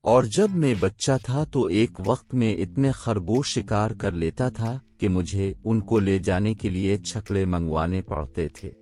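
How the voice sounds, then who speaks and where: A man's voice: on the low side, darkish tone. A man playing a boastful, bickering teller of tall tales in a children's audio story. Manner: bragging, one-upping. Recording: voice over background music.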